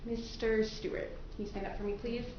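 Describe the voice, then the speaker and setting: A woman's voice: a little high, level tone, brisk. A woman attorney questioning prospective jurors in a courtroom, politely probing and repeating their answers back. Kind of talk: courtroom questioning of a jury panel. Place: large indoor courtroom. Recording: very echoey courtroom, distant mic, muffled, faint noise.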